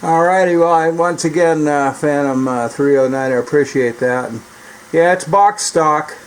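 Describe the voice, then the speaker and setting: A man's voice: on the high side, moderate pace, low-quality recording. An American man giving a casual, rambling, folksy demonstration and chatting as a radio hobbyist. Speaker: radio repair hobbyist and CB operator. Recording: clear background noise.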